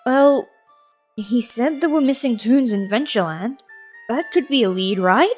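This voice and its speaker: A woman's voice: a little high, animated, unhurried, a little mellow, husky, faint music behind, voice pushed up. A woman voicing an earnest, concerned male cartoon mouse hero, eager and questioning, in a story reading. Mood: hopeful.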